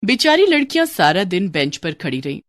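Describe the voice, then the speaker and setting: A woman's voice: on the low side, sing-song, brisk, no echo, clean studio sound. A woman narrating a scripted children's story.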